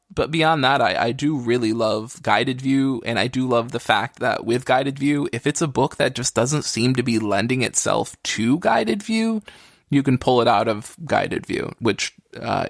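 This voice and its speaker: A man's voice: mid-range voice, animated, measured pace, gravelly, no echo, studio quality. A man co-hosting a podcast in casual, joking chat with a wry streak of exaggeration. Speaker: podcast co-host.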